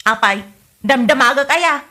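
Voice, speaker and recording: A woman's voice: high voice, unhurried, bright, forceful tone. A woman with an Ilocano accent playing a snippy, dismissive village woman in a comedy audio drama. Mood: scornful.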